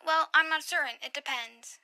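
A woman's voice: sits high, moderate pace, bright, forceful tone. A woman playing a polite, skeptical and procedural chief telephone operator in a radio drama. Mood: measured.

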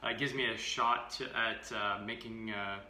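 A man in his thirties walks through a recipe in a relaxed live-stream style, full of "uh" and "you know." His voice sits fairly low yet sounds bright and forceful. The home kitchen around him leaves a roomy echo on a camera mic set a few feet back. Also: moderate pace. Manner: chatty, filler-heavy, upbeat. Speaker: fitness vlogger. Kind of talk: casual live-stream demo. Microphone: camera mic from a few feet away.

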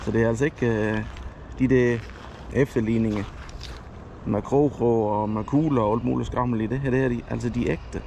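Chatty guy fishing by a lake, gravelly voice, lots of outdoor noise on a head cam. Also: mid-range voice, fairly even intonation, warm tone. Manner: chatty, self-correcting, rambling. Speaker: fishing vlogger. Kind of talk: casual fishing vlog narration. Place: outdoors at a lakeside. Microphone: head-mounted action camera.

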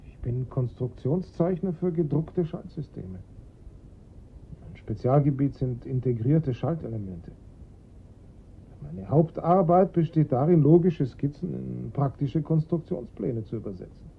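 An actor playing a strained, insistent father of a kidnapped boy in a German radio crime drama, with a husky voice. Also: medium pitch, animated, measured pace, a little hiss or hum. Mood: subdued, earnest. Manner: insistent, self-justifying. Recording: muffled lo-fi radio play recording.

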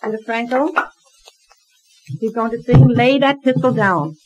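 A woman's voice: fairly high pitch, a little slow, darkish tone, husky, dull audio. A woman speaking as a teacher, formally announcing performers at a children's recital, on an old 1940s home-cut disc. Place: private home.

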